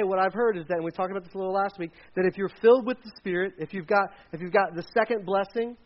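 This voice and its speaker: A man's voice: sits high, dull audio. A man preaching a sermon with slides, talking casually, starting sentences over, and acting out the people in the scripture he retells. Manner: conversational, retells scripture with acted quotes and restarts. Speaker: pastor.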